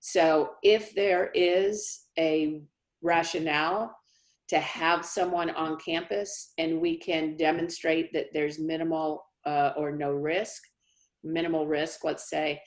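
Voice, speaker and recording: A woman speaking as a college dean, answering questions in a measured, reassuring, explanatory way on a video call. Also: low-pitched, fairly even intonation. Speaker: college dean hosting a town hall. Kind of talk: informal question-and-answer briefing. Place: remote online meeting.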